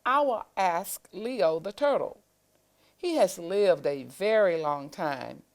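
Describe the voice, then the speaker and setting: A woman's voice: on the low side, animated, slow pace. A woman voicing an earnest, questioning little boy in a children's storybook. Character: earnest, uncertain little boy seeking answers.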